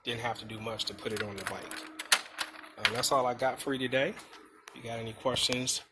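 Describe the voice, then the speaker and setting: A man's voice: medium pitch, level tone, fairly quick, heavy background noise. A man giving a casual, unscripted hands-on product walkthrough in a plain-spoken, explanatory way. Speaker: vlogger showing a motorcycle radio install.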